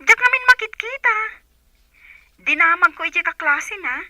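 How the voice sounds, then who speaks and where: A young Filipino student on the phone in a radio drama. Her very high, piercing voice keeps asking insistent questions through a telephone filter, with no room echo. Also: lively intonation, moderate pace, bright, forceful tone, exaggerated voice. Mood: puzzled. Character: inquisitive young female student phoning her elder.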